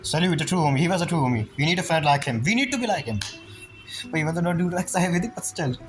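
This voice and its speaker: A man's voice: on the high side, lively intonation, racing. A man giving casual, blunt, slangy reactions as he plays a game, with game audio behind him. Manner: slangy, blunt, repetitive interjections. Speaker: game streamer. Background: game music and dialogue.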